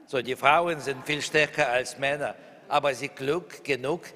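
A man in his sixties, a native Russian speaker with a Russian accent, talking with an interviewer onstage as an author guest in halting German full of fillers. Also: medium pitch, sharp tone. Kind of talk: onstage book talk. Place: large hall with audience. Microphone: handheld mic. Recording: reverberant event hall.

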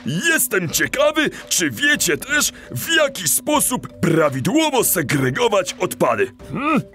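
High-voiced, animated cartoonish animal teacher. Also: male voice, unhurried, soft background music, exaggerated voice. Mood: encouraging. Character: kindly adult male animal teacher, encouraging father. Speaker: teacher leading a children's lesson. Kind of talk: children's audio play acting.

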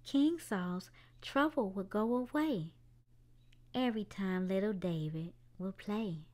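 A woman's voice: mid-range voice, slow, a little mellow. A woman hosting a children's storytime, reading a picture book aloud expressively to young children, with music behind her.

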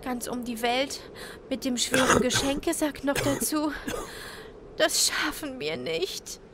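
Children's audio play: actress for eager, helpful little girl cloud, high childlike voice, unhurried, faint background noise. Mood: exhausted.

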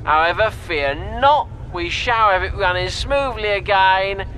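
A man acts out a train driver giving a comic passenger announcement. The voice is high and forceful, with slow, deadpan apologies. There is faint noise behind it. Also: exaggerated voice. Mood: deadpan apologetic. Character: cheerfully dry London Underground train driver on tannoy.

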